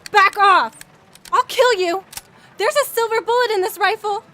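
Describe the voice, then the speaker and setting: A woman's voice: very high-pitched, normal speed, bright, forceful tone. A woman playing a desperate, fierce young woman in a radio drama, urgent and emotional. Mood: threatening, frantic.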